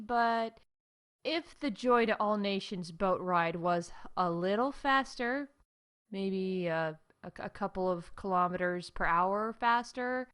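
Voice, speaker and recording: A woman's voice: medium pitch, a bit bright. A woman playing a chipper, glib theme park announcer reading comedic corporate updates in an audio drama.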